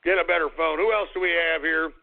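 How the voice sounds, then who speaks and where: A man hosting a call-in radio show, ranting, shouting and swearing at callers while losing his voice. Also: a little high, fairly even intonation, fast. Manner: shouting, profane, abrasive. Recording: phone-like narrow sound.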